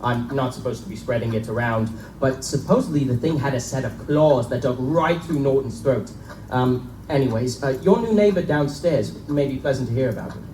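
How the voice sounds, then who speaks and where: A man's voice: mid-range voice, moderate pace, warm tone, close to an everyday voice. A man playing a breezy, gossipy young student who talks in chummy old-fashioned slang, at a live dramatic reading in an echoing room. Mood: jaunty, gossipy. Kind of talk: live dramatic reading of gothic pulp tale. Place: live stage reading in a bookstore. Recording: echoey live recording, distant mic, faint noise.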